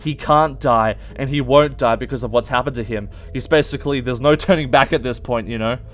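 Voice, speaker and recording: A man's voice: mid-range voice, fairly quick. A man hosting informal explanatory video game commentary, emphatic and stretching key words as he goes. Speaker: video game theory host.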